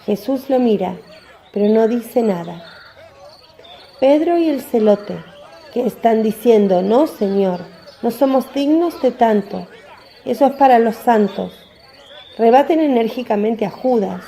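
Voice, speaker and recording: Very mellow, creaky female narrator. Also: a little high, lively intonation, a little slow. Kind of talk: scripted dramatic narration of a religious story.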